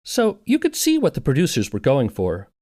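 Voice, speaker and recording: A man's voice: medium pitch, wildly swooping pitch, normal speed, a little mellow. A man narrating a scripted documentary podcast as its host and interviewer.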